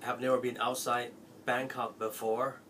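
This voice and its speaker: A man's voice: mid-range voice, normal speed, a little hiss or hum. A young Thai man with a Thai accent, an Apple store salesperson speaking earnestly and pleadingly to camera from a small bedroom. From Thailand. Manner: heartfelt, pleading, expressive swings of loudness. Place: small cluttered bedroom indoors.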